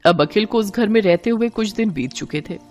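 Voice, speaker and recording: A woman's voice: medium pitch, expressive, fairly quick, darkish tone. A woman reading a scripted story as its narrator. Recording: music behind the voice.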